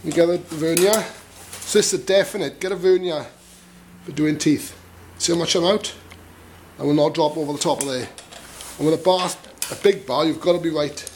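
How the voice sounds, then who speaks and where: A man's voice: a little high, normal speed, pauses often, a little mellow. A man giving a casual hands-on demonstration in a workshop, speaking informally in short asides as he works. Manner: informal, clipped asides while working. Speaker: tutorial presenter. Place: workshop, at a bench vice. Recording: clear background noise, filing and clicking. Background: metal filing rasp and clicks.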